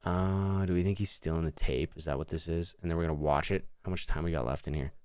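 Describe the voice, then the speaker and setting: A man's voice: deep voice, steady tone, fast, a little mellow, smooth, no echo. A man hosting a livestream with casual, profane reaction commentary, thinking aloud informally. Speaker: livestream host.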